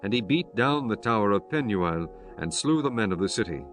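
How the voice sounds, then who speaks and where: A man giving a measured, solemn scripted narration of scripture. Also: fairly low pitch, animated, fairly bright tone, faint music behind.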